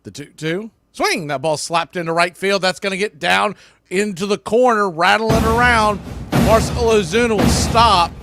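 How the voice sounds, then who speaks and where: Guy doing live baseball play-by-play, kinda high voice, short clipped calls that jump up on big plays. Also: moderate pace, fairly bright tone, soft background music. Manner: clipped calls that swell on the action. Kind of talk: live radio-style play-by-play commentary.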